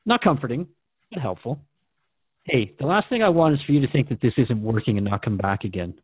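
A man's voice: mid-range voice, lively intonation, a little fast, breathy edge, dry recording. Male radio host on a phone-like line. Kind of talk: interview in a radio drama. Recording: dry, narrow phone-like band.

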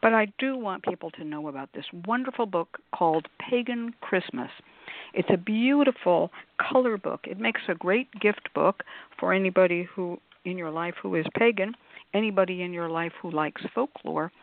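A woman's voice: lowish voice, normal speed, no room sound. A woman hosting a casual live talk show, chatty and effusive, with emphatic asides. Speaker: radio show host. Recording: phone-like, narrow-band sound.

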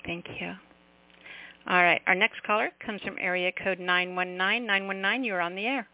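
A woman's voice: medium pitch, steady tone, normal speed. A woman hosting an open mic call-in radio show, encouraging and effusive with callers. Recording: tinny phone line.

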